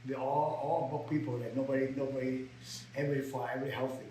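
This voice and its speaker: An older man from Vietnam with a Vietnamese accent tells his life story in halting, broken English full of repeats, sometimes rising to emphatic outbursts. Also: medium pitch, steady tone, mellow, roomy sound, slight background noise, rough recording. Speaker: interviewee. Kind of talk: personal storytelling.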